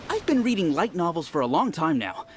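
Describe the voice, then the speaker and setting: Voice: medium pitch, very animated, fairly quick, background noise, lightly acted. A voice actor playing a reflective, slightly jaded longtime reader of novels.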